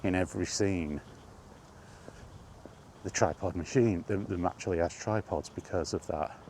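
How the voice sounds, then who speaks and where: A man chatting casually on his own, enthusiastic but halting, with frequent ums and restarts. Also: deep voice, a little mellow, slight background noise. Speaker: vlogger. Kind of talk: casual rambling monologue. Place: outdoors, walking.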